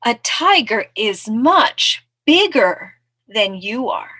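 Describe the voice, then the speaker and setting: A woman voicing a skeptical raccoon with a loud, drawn-out, corrective manner in a children's picture-book read-aloud. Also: on the high side, very animated, no room sound, studio quality, heavily acted. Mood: scolding. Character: big skeptical raccoon contradicting a boastful mouse.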